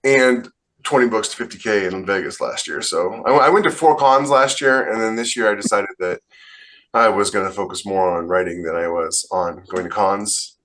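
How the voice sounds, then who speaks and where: A man's voice: on the low side, moderate pace, a bit bright. A man co-hosting a podcast, chatting in a casual, conversational way. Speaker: podcast co-host and author.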